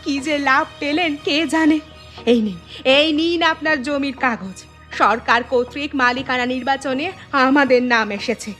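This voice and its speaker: A woman plays a young village wife in a cartoon, high-voiced and earnest. She is devoted and outspoken, with music playing behind her. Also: normal speed, a bit bright. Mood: angry, defiant. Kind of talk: Bengali cartoon dialogue.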